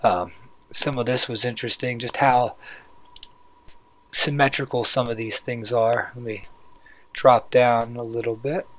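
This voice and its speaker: A man with a hoarse voice hosting a casual, rambling video walkthrough, talking conversationally as he explains what is on screen. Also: medium pitch, steady tone, a little slow, long pauses, fairly bright tone. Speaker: YouTube channel host. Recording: dull, lo-fi microphone sound.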